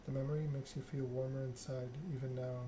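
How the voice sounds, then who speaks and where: A man streaming a text game, reading narration aloud in a soft-spoken ASMR style with casual chat. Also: mid-range voice, flat delivery, quick pace. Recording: clear background noise.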